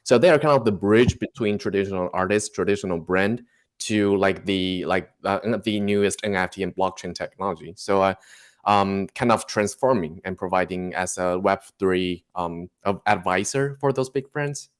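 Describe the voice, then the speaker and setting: A man from Taiwan with a Taiwanese accent, speaking haltingly and searching for words as a guest artist in a casual interview. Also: deep voice.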